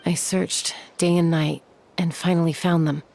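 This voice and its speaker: A woman's voice: on the low side, little pitch movement, measured pace, creaky, darkish tone, slight background noise. A woman playing a tough, protective young gunslinger in a video game, cool and commanding. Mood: grim.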